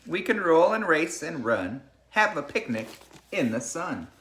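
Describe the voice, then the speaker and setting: Animated, bright-voiced man reading to children. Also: on the high side, unhurried, a little hiss or hum, rough recording. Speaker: teacher reading a picture book to a class. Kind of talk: storybook read-aloud with casual chat to children.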